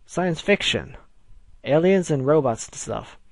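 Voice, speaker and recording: A man's voice: on the high side, lively intonation, measured pace, no room sound. Man doing a brash, goofy young pony gal. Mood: breezy. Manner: boisterous, slangy, teasing.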